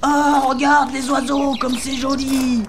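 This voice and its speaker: A man's voice: very high voice, flat delivery, noisy background. A man playing a naive, endlessly delighted henchman who gushes wide-eyed in a comic audio drama. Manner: wide-eyed, gushing, drawn-out exclamations.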